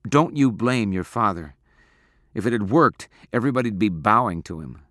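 Audiobook role: practical, insistent teenage girl read by a man, raised pitch, lively swings, close dry sound. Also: moderate pace, dry recording. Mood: firm. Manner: scolding, insistent, firm. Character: stern, practical, devoted teenage girl sweetheart. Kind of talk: audiobook character acting.

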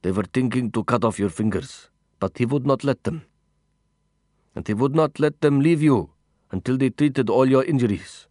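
Man acting earnest male comrade, audiobook character, halting learner's phrasing, caring, dry sound. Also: medium pitch, a little mellow, no echo, close to an everyday voice. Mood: soft, earnest. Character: Mahmoud, earnest, solicitous male Afghan-war comrade speaking accented English.

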